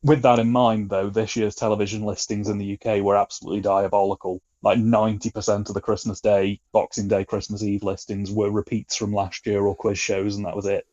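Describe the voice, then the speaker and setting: A man's voice: fairly low pitch, little pitch movement, fairly quick, hardly any pauses, a little mellow, muffled recording. A British man chatting casually as a podcast guest, with a wry, colloquial way of talking. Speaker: podcast guest, film reviewer.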